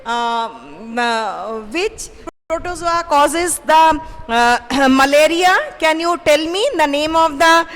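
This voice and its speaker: Halting Bengali-accented woman teacher. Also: high voice, lively intonation, a bit bright. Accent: Bengali English. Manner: halting, many fillers, asks pupils questions. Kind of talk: online class lecture to children. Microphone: microphone in front of her. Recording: roomy reverb, faint hiss.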